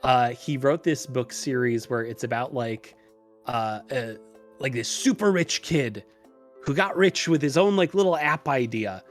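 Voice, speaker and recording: A man talking casually as a podcast guest, telling stories in an animated, self-deprecating way with exasperated asides. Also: medium pitch, a little slow, faint music behind. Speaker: podcast guest, illustrator and programmer.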